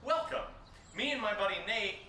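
An actor playing an agitated, sarcastic young man in an audio drama, ranting and swearing as if to a livestream. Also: normal speed. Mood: mock-cheerful. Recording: echoey room, faint hiss, high-pitched bright voice.

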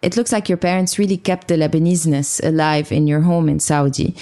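A woman podcast host with a fairly low, very mellow voice. She presents and asks questions in the manner of a prepared interview show, with a soft, dark tone. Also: steady tone, brisk. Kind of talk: scripted introduction and interview questions.

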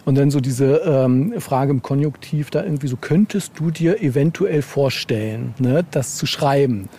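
A man's voice: medium pitch, moderate pace, dark tone, slightly rough. A man chatting casually as an interviewed author, rambling and often tagging phrases with a questioning filler. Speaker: interviewed novelist. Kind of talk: casual podcast interview chat.

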